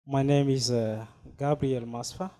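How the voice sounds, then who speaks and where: Slow, mellow man from Cameroon. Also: medium pitch, steady tone. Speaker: panel guest, doctoral student. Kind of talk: self-introduction.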